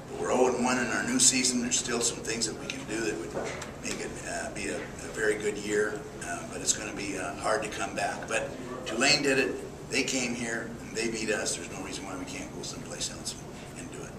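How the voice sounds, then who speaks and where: An older male football coach with a low voice talks through a loss, pausing and restarting often. He sounds far from the mic, in an echoey room with loud ambient noise behind him. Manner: halting, reflective, many fillers and restarts. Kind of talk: post-game press conference.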